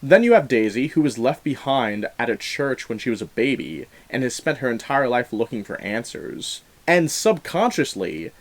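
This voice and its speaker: A man's voice: mid-range voice, very animated, moderate pace, few pauses. A man giving conversational film review commentary as the host of a movie discussion show.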